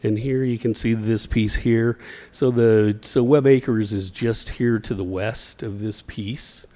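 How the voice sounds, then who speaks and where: A man from city planning staff presenting zoning items to a city council in a plain, steady way. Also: on the low side, slow pace. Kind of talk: formal presentation at a council meeting.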